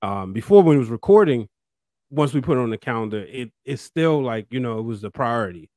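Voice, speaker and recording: A man with an American accent hosts in a casual, chatty way. His voice sits at a medium pitch, recorded dry with no room echo. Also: expressive, fairly quick, darkish tone. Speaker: podcast host. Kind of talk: casual interview chat.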